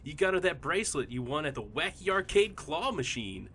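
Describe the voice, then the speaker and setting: A bright, lively voice for an overfriendly mystery stranger in a comedy audio drama, played by an actor. The delivery swoops around with cheery, chummy confidence and stays unbothered. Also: medium pitch, brisk, slight background noise. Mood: fond, cheery. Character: overfriendly chipper mystery stranger posing as lifelong best friend.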